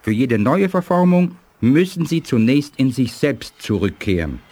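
A husky male alien elder, learned and solemn. His medium-pitched voice moves expressively through grave, measured explanations in 1980s radio-play style. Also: very animated, dark tone. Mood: calming, explanatory. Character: learned, solemn alien elder statesman, calm but ominous.

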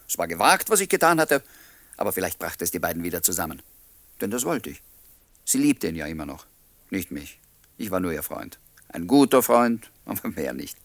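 A man's voice: lowish voice, fairly bright tone, full character voice. A man playing a bold but polite young suitor who also narrates his story in a German radio play. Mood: reflective. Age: young adult. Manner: courteous, provocative, crisp.

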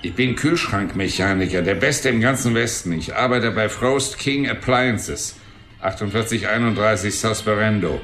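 A man's voice: fairly low pitch, moderate pace, a bit bright, echoey room, soft background music, lightly acted. An actor playing a cranky, cocky old man, a wisecracking and belligerent mechanic, in a German radio drama. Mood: boastful, grumbling. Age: older. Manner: wisecracking, belligerent, long-winded.

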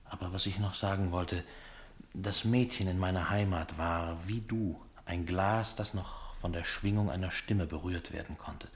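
A low-voiced, brooding homesick soldier. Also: male voice, fairly even intonation. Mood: solemn, brooding. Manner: solemn, poetic, measured delivery. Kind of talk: German radio play acting.

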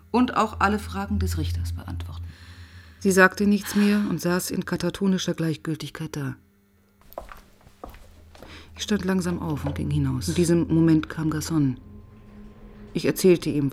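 An actress playing a weary, relentless police detective who also narrates in a radio crime drama, intense and often dropping to a whisper. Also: female voice, deep voice, normal speed, long pauses, a little hiss or hum. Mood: sober, probing, then weary, bleak.

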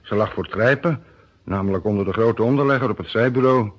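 A man's voice: fairly low pitch, quick pace, slightly rough. A man acting an earnest, deferential police subordinate in an old radio drama, speaking respectfully and somewhat hesitantly. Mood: dutiful, eager. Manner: hesitant, eager to please, respectful. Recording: dull, band-limited old radio recording.

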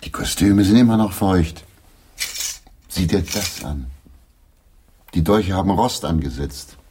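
An actor playing a practical, dry-humoured English stage player in a German radio play, matter-of-fact. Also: male voice, sits low, animated, measured pace, mellow, a little hiss or hum.

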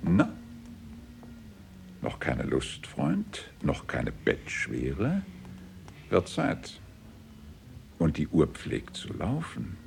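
A man's voice: low-pitched, huge pitch swings, drawn-out words, gravelly, long pauses, a little mellow, a little hiss or hum, full character voice. A man playing an insinuating stranger in the night in a radio drama, drawling his words. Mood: quietly menacing. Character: slow, insinuating deep-voiced man stopping someone at night.

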